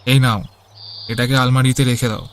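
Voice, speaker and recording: A man's voice: mid-range voice, little pitch movement, moderate pace, a bit bright, slight background noise, lightly acted. A man voicing a greedy young married son in a cartoon, self-assured and dismissive. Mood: smug, impatient.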